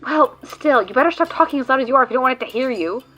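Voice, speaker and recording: A woman's voice: high-pitched, lively intonation, rapid-fire, fairly bright tone, soft background music. A woman voicing a sarcastic, exasperated female guide who swears often, in a fan audio drama.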